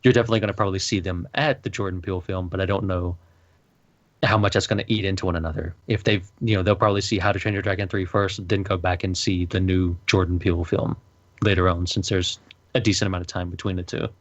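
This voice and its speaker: A man co-hosting a casual podcast discussion, talking in a measured, speculative way. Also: sits low, fairly even intonation, no room sound. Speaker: podcast co-host. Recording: dull lo-fi home mic, dry.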